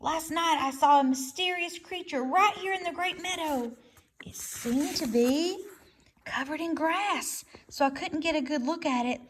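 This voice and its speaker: A woman's voice: sits high, a little slow, a little hiss or hum, voice pushed up. A woman voicing a bossy, easily alarmed male owl who leads the forest crowd in a storybook read-aloud. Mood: commanding.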